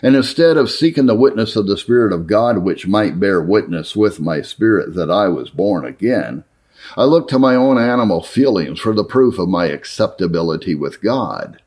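A man reading an old formal letter aloud as a narrator, in an earnest, measured way. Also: low voice, a little mellow. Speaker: narrator reading historical religious writings.

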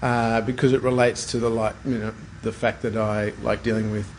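A man's voice: on the low side, little pitch movement, normal speed, darkish tone, a little hiss or hum. A middle-aged man with an Australian accent, chatting as an interview guest in an unassuming, hesitant way. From Brisbane, Australia. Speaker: guest, plastic surgeon. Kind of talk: casual interview chat.

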